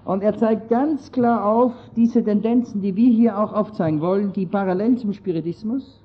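A man's voice: high-pitched, moderate pace, breathy edge. A man with an Austrian accent, somewhat Germanized, giving an earnest, digressive talk on church history to an audience. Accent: Austrian German, partly Germanized. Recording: dull lo-fi audio.

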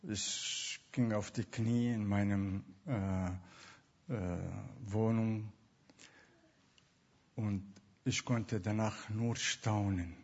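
A man's voice: lowish voice, steady tone, very slow, pauses often, a little mellow, dull audio. A man from Syria with a Syrian Arabic accent, speaking German as a second language, telling his personal testimony hesitantly with many fillers. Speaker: guest.

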